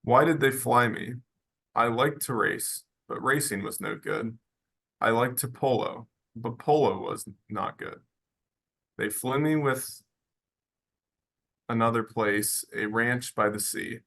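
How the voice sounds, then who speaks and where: A man voicing an earnest, homesick horse who speaks in short, halting, childlike sentences in a radio drama table read. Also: mid-range voice, gravelly, a bit bright. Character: simple earnest horse, plain-spoken and homesick.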